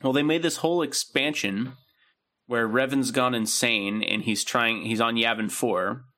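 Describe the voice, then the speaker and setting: A man's voice: medium pitch, fairly even intonation, unhurried, bright, forceful tone. A man hosting a podcast in casual chat, explaining things in an informal, rambling way. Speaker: podcast host.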